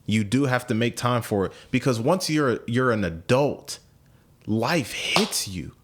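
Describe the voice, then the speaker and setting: A man's voice: mid-range voice, animated, measured pace. A man in his late twenties, hosting a podcast in casual conversation, thinking aloud with frequent repeats and restarts. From United States. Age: 20s. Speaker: podcast host.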